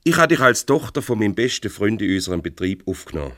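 An actor playing a stern, paternal older boss in a radio play, reproachful and patronising, with a Swiss German accent. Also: male voice, fairly low pitch, very animated, fast, fairly bright tone, no room sound, clean studio sound.

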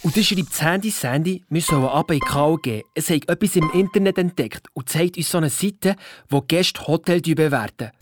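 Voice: mid-range voice, normal speed, few pauses, a little hiss or hum, natural voice. A performer playing a cheeky, boastful boy detective with a Swiss German accent, chipping in colloquially in a children's audio drama. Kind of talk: first-person storytelling in a children's audio drama.

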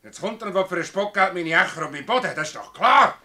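A hot-headed Swiss village farmer in a dialect radio play. His voice is pitched high, very tense and sharp, and leaps about in pitch. He blusters and curses with bitter indignation. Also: lively intonation, quick pace, exaggerated voice. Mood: furious. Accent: Swiss German. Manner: blustering, exclamatory, cursing. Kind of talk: dialect radio drama acting.

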